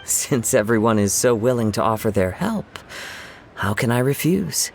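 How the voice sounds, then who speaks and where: A man voicing a humble, gentle young samurai poet in a game, soft-spoken, measured and courteous. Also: medium pitch, expressive, gravelly, slight background noise. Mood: modest. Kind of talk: anime-style game dialogue. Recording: music behind the voice.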